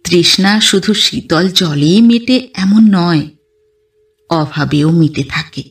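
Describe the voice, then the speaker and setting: A woman narrates a literary tale at a slow, unhurried pace. Her delivery is measured and expressive, in the manner of an audio storybook. She sounds a little away from the microphone. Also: fairly low pitch, far from the mic. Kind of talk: scripted literary narration in first person.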